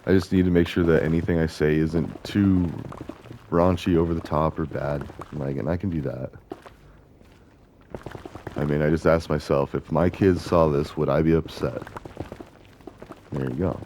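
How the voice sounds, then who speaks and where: A man with a gravelly voice, chatting casually and rambling as he plays a video game, often correcting himself. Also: very deep voice, fairly even intonation, moderate pace, long pauses, a little mellow, slight background noise. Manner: chatty, meandering, self-correcting. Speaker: gaming video host. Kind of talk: casual rambling commentary while playing.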